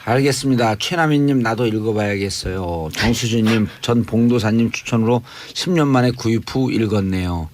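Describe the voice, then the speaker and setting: A man's voice: fairly low pitch, normal speed, few pauses, a little hiss or hum. A man hosting a radio talk show casually, reacting often and summing up what others say. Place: radio studio.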